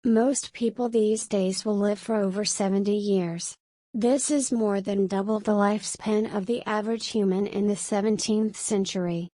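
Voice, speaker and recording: A woman reading a scripted practice passage aloud in a measured, formal way. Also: medium pitch, steady tone, warm tone, no room sound. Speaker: reader of exam practice passages.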